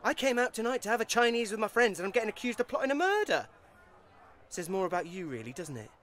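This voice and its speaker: A man's voice: sits high, very animated, fast, fairly bright tone. An actor playing a nervy, blunt British man under suspicion, stammering and colloquial in a naturalistic audio drama. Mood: rattled, indignant.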